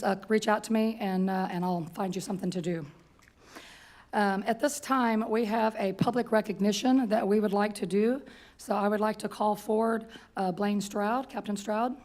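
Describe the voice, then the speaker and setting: A hoarse woman, the mayor, chairing a council meeting in a plain, businesslike way and often clearing her throat. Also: medium pitch, a little mellow. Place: council chamber.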